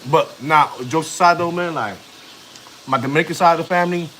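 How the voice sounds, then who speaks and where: A man's voice: on the high side, a little fast, a bit bright, slight background noise. A man in his thirties, a family vlogger, chatting casually on a live stream in slang, rambling and chatty. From United States. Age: 30s.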